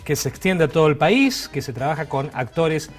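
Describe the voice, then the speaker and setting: A man's voice: on the high side, lively intonation, normal speed, soft background music. A man co-hosting a talk show, chatting casually and piecing his phrases together a little hesitantly. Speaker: television co-host. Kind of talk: casual co-host chat previewing segments.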